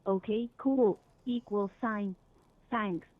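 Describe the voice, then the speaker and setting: A woman's voice: mid-range voice, slow, phone-quality sound. A synthetic woman's voice reading out chat messages as a text-to-speech narrator. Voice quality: synthetic, computerized. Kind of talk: computerized chat read-out.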